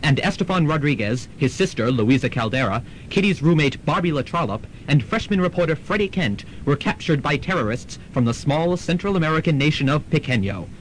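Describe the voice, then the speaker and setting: A man delivers dramatic scripted narration like a serial announcer. His voice is a little high and very animated, with faint rumble on a student radio recording. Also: brisk, few pauses, low-quality recording.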